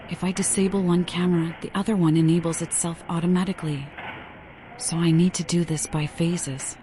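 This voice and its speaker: A woman narrates a video game as she plays, thinking through each step. Her voice sits a little low, with noticeable noise and ambience behind it. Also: fairly even intonation, a little fast, dark tone. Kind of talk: gameplay narration.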